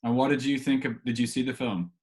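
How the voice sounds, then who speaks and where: Man, medium pitch, podcast host, informal questions, hesitant phrasing. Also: steady tone, a little fast.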